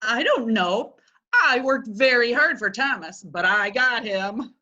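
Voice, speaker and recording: A woman playing a bright, exclaiming friend. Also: on the high side, a little slow, lo-fi sound, exaggerated voice. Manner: animated and exclaiming. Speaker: reader in a play reading. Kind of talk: amateur readers' theatre acting.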